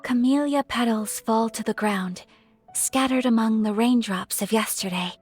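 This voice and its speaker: A refined young noblewoman from a video game, speaks in a high, breathy voice. She is gentle and formally polite, with a shy, affectionate hesitance. Music plays behind her. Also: normal speed. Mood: thoughtful, refined. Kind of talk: scripted video game character voice acting.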